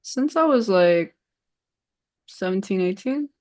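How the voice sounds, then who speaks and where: A woman's voice: mid-range voice, animated, slow, darkish tone. A woman in her twenties whose first language is Cantonese, a streamer chatting in an interview, hesitant and full of "like". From San Francisco Bay Area. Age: 20s. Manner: hesitant, lots of "like" and trailing off. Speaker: streamer guest. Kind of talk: casual interview chat.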